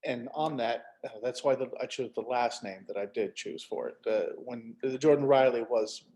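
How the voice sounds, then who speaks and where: A middle-aged man talks casually in a mid-pitched voice, like an author chatting with colleagues. He tells his stories in an animated way and slips into short acted-out asides. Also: moderate pace, low-quality recording. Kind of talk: casual chat.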